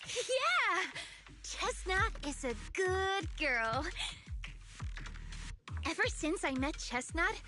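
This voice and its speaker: Very high-pitched quirky young girl. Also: sing-song, extremely slow, pauses often, full character voice. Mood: earnest. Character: gentle, quirky, trusting young girl adventurer. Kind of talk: anime video game voice acting. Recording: game audio under clear music.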